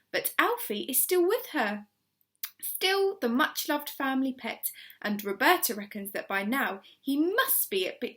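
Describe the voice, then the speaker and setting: Expressive woman reading a children's story. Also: a little high. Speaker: primary school teacher reading aloud.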